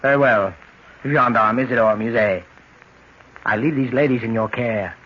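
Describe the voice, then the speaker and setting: An actor playing a polite, formal bank official in an old-time radio drama. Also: male voice, mid-range voice, moderate pace, lightly acted. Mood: courteous. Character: courteous, formal male French bank official. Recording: muffled, noisy old radio recording.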